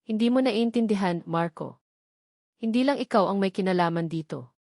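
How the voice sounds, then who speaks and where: A woman's voice: medium pitch, moderate pace, a little mellow, dry recording, studio quality, natural voice. Woman voicing a worried older mother, anguished and guarded. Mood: pained, secretive.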